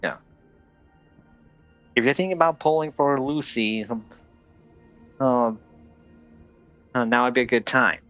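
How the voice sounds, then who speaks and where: A man chatting casually on a game livestream and giving advice in a hesitant, halting way. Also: medium pitch, fairly bright tone, dull audio. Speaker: game live streamer. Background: game music.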